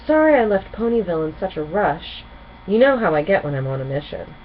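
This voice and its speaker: A woman's voice: on the low side, very animated, a little fast. A woman voicing an earnest, enthusiastic young female pony princess in a storybook reading. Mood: apologetic, surprised. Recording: muffled home recording with clear noise.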